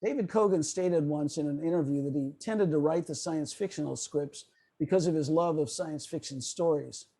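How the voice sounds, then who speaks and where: A man giving a prepared, explanatory lecture in a measured way on a video call. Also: fairly high pitch, dark tone. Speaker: presenter.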